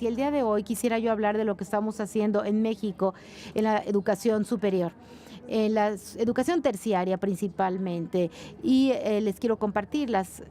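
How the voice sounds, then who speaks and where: A woman giving live spoken interpretation of a conference panel, brisk, with frequent fillers. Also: mid-range voice, mellow. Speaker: simultaneous interpreter.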